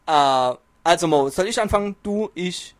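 Higher-voiced joking podcast host, dry sound. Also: male voice, expressive, moderate pace, no echo. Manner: jokey, self-mocking, chatty. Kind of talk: casual podcast chat. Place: small home studio. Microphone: home mic, distance varies.

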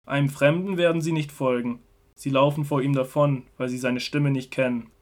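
A man playing a calm, authoritative teacher in a scripted Bible audio drama, speaking in a measured, earnest way. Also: mid-range voice, lightly acted. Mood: solemn teaching.

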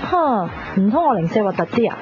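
An actress playing a vain, sassy young fashion lover in a Hong Kong Cantonese radio drama, whining and bantering with English words mixed in. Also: female voice, mid-range voice, sing-song, a little fast, lightly acted. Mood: sassy, catty. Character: sassy, vain, fashion-obsessed young woman, comically whiny. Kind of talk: 1990s radio drama acting. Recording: dull 1990s radio drama recording. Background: faint music.

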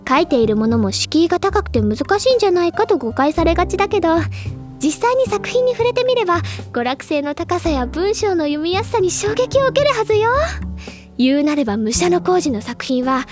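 Very high-pitched, bubbly bookish schoolgirl. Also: big pitch swings, a little fast, darkish tone, faint music behind. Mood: passionate lecturing. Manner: gushing, lecturing, theatrical. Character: bubbly, stubborn, literature-obsessed high school girl, club senior. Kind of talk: Japanese anime-style audio drama acting.

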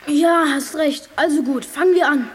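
A woman playing a naive, easygoing schoolboy sidekick in a German children's audio drama, guileless and slow on the uptake. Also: high voice, natural voice.

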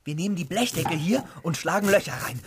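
An actor playing an eager soldier who busies himself with cooking, in a radio play. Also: male voice, fairly high pitch, expressive, quick pace, background noise, lightly acted. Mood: eager, busy. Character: eager soldier busy cooking under fire.

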